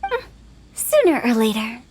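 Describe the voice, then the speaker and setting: A woman voicing a playful, mischievous young female funeral parlor director who makes cheerfully morbid sales pitches. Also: big pitch swings, measured pace, darkish tone, a little hiss or hum. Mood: teasing. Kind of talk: video game voice acting. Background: faint game music.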